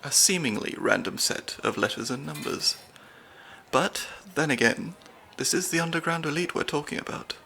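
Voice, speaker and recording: A man's voice: fairly high pitch, lively intonation, normal speed, vocal fry, exaggerated voice. A young man voicing a nerdy, slightly anxious stand-in spy handler with dry wit, in an ASMR audio roleplay. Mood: analytical. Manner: analytical, dry wit, soft-spoken.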